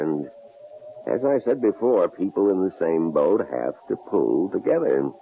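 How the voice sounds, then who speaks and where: A gravelly, shrewd old-radio professor. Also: male voice, full character voice. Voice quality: very deep, gravelly. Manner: calm, measured, dryly amused. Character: shrewd psychology professor posing as a killer. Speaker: university psychology department head. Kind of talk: 1950s-70s radio drama acting. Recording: tinny, lo-fi old radio recording with faint hiss.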